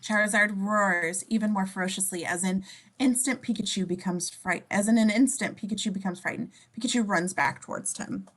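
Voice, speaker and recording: A woman's voice: mid-range voice, brisk. A woman narrating scene descriptions in an amateur script reading, sometimes stumbling and correcting herself. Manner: stumbles over words and self-corrects.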